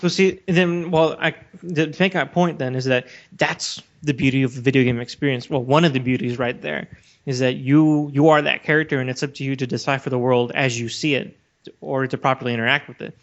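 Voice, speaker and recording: A man's voice: medium pitch, fairly even intonation, fairly quick. A man co-hosting a podcast, explaining his opinions in casual, argumentative discussion. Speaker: podcast co-host. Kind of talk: casual discussion of video games. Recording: dull, lo-fi voice recording.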